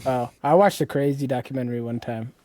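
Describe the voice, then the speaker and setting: A man's voice: medium pitch, fast talker, mellow, dry recording. A man in his thirties chatting casually as a podcast co-host, blunt and free with swearing. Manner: blunt, profane. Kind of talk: casual chat.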